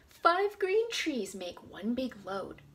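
A woman in her thirties hosting a storytime, talking expressively and deliberately to small children. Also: on the high side, very animated, fairly bright tone. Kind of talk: expressive picture-book storytime reading for young children. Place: small indoor room at home.

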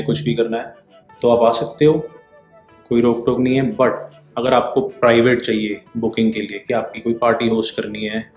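A man's voice: mid-range voice, a little mellow, echoey room. A man in his thirties strolls through an apartment building on a handheld camera, chatting easily in Hindi with English phrases mixed in. His medium voice rings off bare walls in empty rooms, with music playing underneath. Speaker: vlogger giving a tour. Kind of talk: casual Hindi-English tour narration. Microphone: handheld action camera, wide angle.

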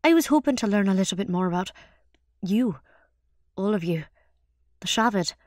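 A woman's voice: medium pitch, expressive, normal speed, a little mellow, no room sound, studio quality, lightly acted. A woman voicing a shy, awestruck young boy who talks in an earnest, rambling way, in an audiobook story. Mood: hesitant.

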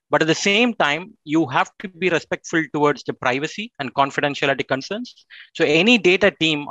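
A man's voice: on the high side, pushed and bright, no room sound. A man speaking as a panelist on a video call, explaining at length and often adding "right?" to check in. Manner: explanatory, with frequent "right?" tags. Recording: dry, dull video-call audio.

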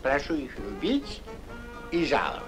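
A man's voice: mid-range voice, huge pitch swings, a little slow, poor audio. An actor playing a pompous, self-important rich mole bridegroom in a classic cartoon, drawing out his words theatrically. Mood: pompous, courtly. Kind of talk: classic Soviet cartoon voice acting. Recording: old cartoon soundtrack, faint music.

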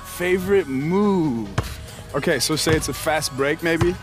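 A man's voice: on the high side, a little slow, natural voice. Man playing casual young basketball star over music. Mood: upbeat. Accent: American. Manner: casual, slangy, friendly banter. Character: young pro basketball star, easygoing and confident. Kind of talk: naturalistic video game cutscene dialogue.

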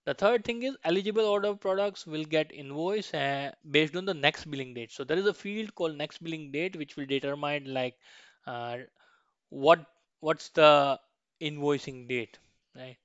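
A man presents an instructional slide tutorial in a fairly high, smooth voice. He explains point by point and often adds little checking tags. The sound is close and dry, though slightly dull. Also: moderate pace, dry recording. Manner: instructional, frequent checking tags like "right?" and "okay".

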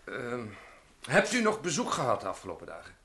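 A man's voice: medium pitch, wildly swooping pitch, brisk, a bit bright. An actor playing a polite, hesitant village policeman asking around in an old radio drama. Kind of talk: vintage Dutch radio drama acting.

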